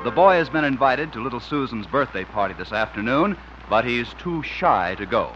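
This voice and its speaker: A man's voice: mid-range voice, expressive, moderate pace, over music. A man with an American accent doing polished, scripted announcing and narration as a 1950s network radio announcer. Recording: dull, slightly noisy 1950s radio recording.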